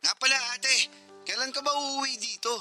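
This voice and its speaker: A woman's voice: high-pitched, moderate pace, sharp tone, faint music behind. A woman playing a curious, caring younger sibling, still a student, in a Tagalog radio drama.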